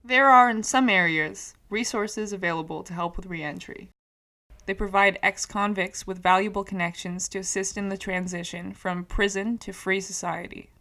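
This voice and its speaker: A woman giving measured, informative scripted narration for a documentary. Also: medium pitch, fairly even intonation, pushed and bright.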